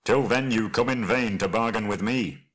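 A male narrator performs a proud dwarf king from a fantasy storybook. The voice is husky and low, with an imperious, bitter delivery full of wrath. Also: normal speed, a bit bright. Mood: proud, defiant.

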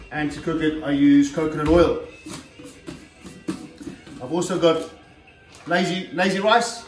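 Middle-aged man, ex-fighter, plain-spoken home cooking vlog; slightly high voice, captured from afar in a reverberant kitchen. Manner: chatty, plain-spoken, lots of fillers and "okay". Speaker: former professional heavyweight fighter giving cooking tips. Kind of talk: casual cooking demonstration. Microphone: far camera on the counter. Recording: roomy kitchen sound from a distance, faint music.

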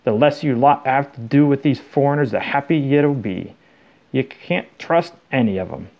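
Man as a huge, gruff, blunt groundskeeper. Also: medium pitch, moderate pace, highs cut off, natural voice. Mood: grim, scolding. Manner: rustic, nonstandard grammar, blunt. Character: huge, rough, loyal, hot-tempered groundskeeper.

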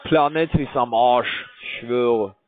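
A man calling from a call center, rambling in a bored, crude, halting way. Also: medium pitch, no room sound, soft background music. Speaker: call center scam caller. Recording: dry tinny phone line.

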